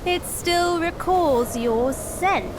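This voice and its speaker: A woman's voice: very high voice, drawn-out words, noisy background, full character voice. A woman voicing a gloating demon who collects young monkeys in her sack, speaking in a mocking, cackling way in a game cutscene. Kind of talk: video game cutscene acting. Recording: game cutscene with clear score.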